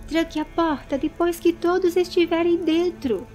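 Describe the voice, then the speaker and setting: A woman's voice: very high-pitched, steady tone, moderate pace, darkish tone, faint music behind, voice pushed up. A Brazilian woman voicing a commanding, protective lady with firm maternal authority in a dramatized fantasy audiobook. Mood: urgent command.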